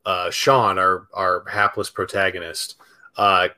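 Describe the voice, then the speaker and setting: A man's voice: sits low, flat delivery, slow, pushed and bright. A man co-hosting a casual film chat, speaking hesitantly with many ums and restarts. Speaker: podcast and stream co-host.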